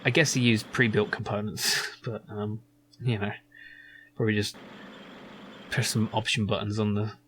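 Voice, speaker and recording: A man's voice: fairly low pitch, level tone, moderate pace, long pauses, fairly bright tone. Man rambling casually, noisy recording. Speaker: gaming channel host. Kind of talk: casual let's play commentary.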